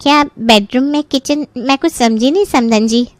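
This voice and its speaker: A woman playing a polite, concerned middle-aged mother of the bride in an animated family story. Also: sits high, moderate pace, no room sound, natural voice. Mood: puzzled, concerned. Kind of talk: Hindi animated story dialogue.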